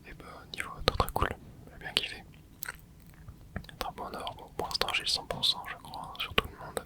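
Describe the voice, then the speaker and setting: Whispering man doing gameplay commentary. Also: normal speed. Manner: soft whispering with little interjections while playing; chatty, explains the game to viewers. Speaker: ASMR gaming channel host.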